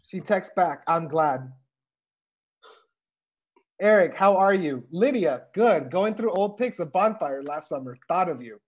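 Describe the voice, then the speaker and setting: High-pitched man reading aloud on a call. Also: lively intonation, measured pace, long pauses. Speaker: host and stage-direction reader. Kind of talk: table read narration and casual chat. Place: indoor room on a video call. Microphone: wired earbuds on a video call.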